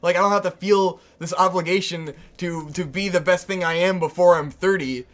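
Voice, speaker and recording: A man in his twenties chatting casually as co-host, animated and laughing easily, swearing for emphasis. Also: high voice, moderate pace. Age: 20s. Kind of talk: casual chat.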